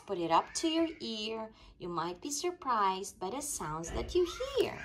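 A woman reading a rhyming children's picture book aloud in an expressive, sing-song storytelling way. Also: on the high side. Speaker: storytime reader.

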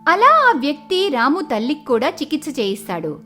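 A woman's voice: sits high, huge pitch swings, moderate pace, sharp tone, soft background music. A woman narrating a scripted moral story as its storyteller.

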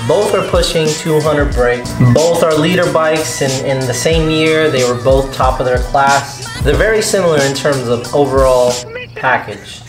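A man's voice: fairly high pitch, measured pace, echoey room, music in the background. A man in his thirties vlogging casually about motorcycles, loose and chatty with many fillers and restarts, recorded in his home garage on a camera mic. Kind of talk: casual motovlog talk.

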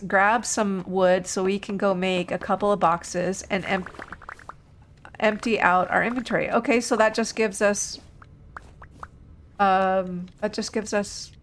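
A woman's voice: mid-range voice, fairly bright tone. A woman hosting a casual let's play, thinking aloud unhurriedly while getting over an illness. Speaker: gaming video host.

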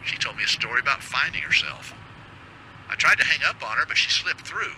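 An actor playing a wry male radio producer making quick asides to the host. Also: fairly high pitch, animated, gravelly, sharp tone, slight background noise, heavily acted, poor audio. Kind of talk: video game voice acting.

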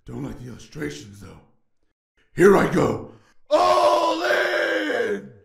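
A man plays a belligerent, foul-mouthed war god for a comic dub. The voice is heavily fried and gravelly, fairly high for a male, and slow-paced. It leaps around in pitch between sour grumbling and explosive outbursts. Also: wildly swooping pitch, reverberant, exaggerated voice. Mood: smug. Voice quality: gravelly, creaky. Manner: swings from slow grumbling to sudden yelling. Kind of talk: comic dub character acting.

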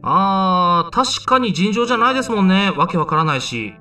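A young man playing a flustered, flippant high school boy in an amateur voice drama, casual, sceptical and given to exclaiming. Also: lively intonation, normal speed, pushed and bright, far from the mic, soft background music.

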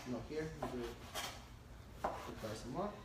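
A man's voice: medium pitch, lively intonation, moderate pace, heavy background noise. A man, a chef from Florida, demonstrating a dish with frequent hesitations and fillers. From Palm Beach area, Florida. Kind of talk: cooking demonstration. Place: restaurant kitchen. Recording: echoey restaurant, far from mic.

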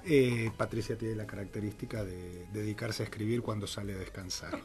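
A man's voice: lowish voice, fairly even intonation, a little fast, darkish tone. Older male director guest, Argentine accent, hesitant and thoughtful, studio mic, faint music. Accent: Argentine Spanish. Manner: thoughtful, many "este" fillers. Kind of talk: casual radio interview. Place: small radio studio. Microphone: studio mic with headphones.

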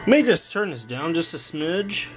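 A man's voice: on the high side, big pitch swings, moderate pace, music in the background. A young man giving a casual, handheld video demonstration as the host of a home vlog. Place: bedroom and home workshop. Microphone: handheld camera or phone, held by the speaker.

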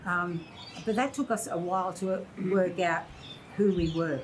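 An Australian woman in her sixties tells stories with lively, mimicking energy. Her voice sits a little low. A soft hiss runs underneath the recording. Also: measured pace, rough recording. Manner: animated storyteller, acts out quoted voices. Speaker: interview guest. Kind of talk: casual reminiscing conversation. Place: indoor room by large windows.

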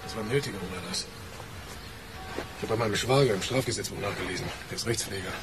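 A man's voice: fairly low pitch, fast, noisy background, natural voice. An actor playing a troubled, reproachful working man in a radio drama. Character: troubled, conscience-stricken, reproachful male workman.

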